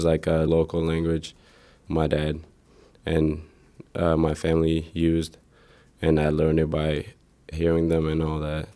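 A young man from Ethiopia, a native Amharic speaker, answering interview questions in a hesitant, plain way with many pauses. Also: rumbling low, dark tone. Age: teens to young adult.

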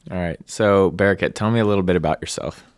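A young man asking interview questions in a casual, slightly halting way. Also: deep voice, clear, resonant tone, dry recording, studio quality. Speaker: student interviewer.

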